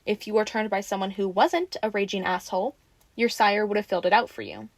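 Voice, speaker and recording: A woman's voice: medium pitch, brisk, no room sound, close to an everyday voice. Girl acting as a playful bossy vampire lady for an audio roleplay, teasing but caring, super dry close sound. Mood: flustered, explaining. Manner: playful, bossy, expressive, informal. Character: teasing, sassy, caring female vampire taking in a newly turned one. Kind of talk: audio roleplay addressed to the listener.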